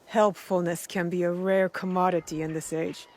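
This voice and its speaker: A woman's voice: lowish voice, flat delivery, moderate pace, a little mellow, natural voice. A woman as a blunt young shinobi. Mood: dry, wary. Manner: blunt, terse, resolute. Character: determined, guarded young female shinobi warrior. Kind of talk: video game cutscene acting.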